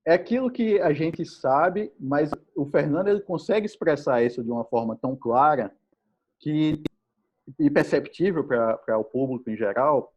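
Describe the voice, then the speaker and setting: A man's voice: a little high, husky. A male host from Brazil, chatting with a guest on a live video call, friendly and enthusiastic with many fillers. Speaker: podcast host. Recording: dull, lo-fi call audio.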